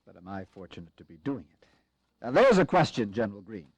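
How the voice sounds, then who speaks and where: A man playing a pragmatic, weary army commander in a historical audio drama, speaking in a measured, grave and formal way with wry resolve. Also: fairly low pitch, big pitch swings, dry recording. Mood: resigned, explaining.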